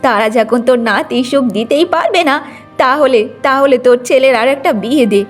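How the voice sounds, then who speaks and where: A woman's voice: high-pitched, fairly quick, soft background music, natural voice. A woman playing a boastful, meddling older village grandmother in a cartoon moral story. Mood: meddling, persuasive.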